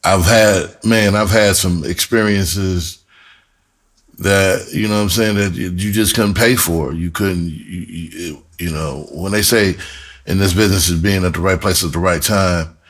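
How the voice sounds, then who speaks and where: A man's voice: sits low, measured pace, fairly bright tone. A middle-aged man telling personal stories casually as a host, candid and reflective, with streetwise slang. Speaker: podcast host and music artist.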